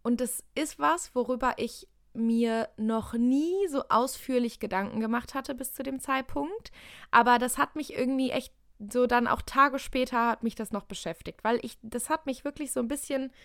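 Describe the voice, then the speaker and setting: A woman's voice: fairly high pitch, fairly even intonation, moderate pace, creaky, fairly bright tone, no room sound, studio quality. A young woman co-hosting a podcast, talking casually and thinking aloud with fillers and restarts. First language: German. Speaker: podcast co-host. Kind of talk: casual chat.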